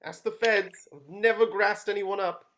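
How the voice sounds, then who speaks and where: A nervous young working-class man, voiced by a woman stammers out hurried promises. He pleads to be believed. The home recording sounds dull. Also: mid-range voice, steady tone, a bit bright, slight background noise, pitched below the performer's own voice, natural voice. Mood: pleading.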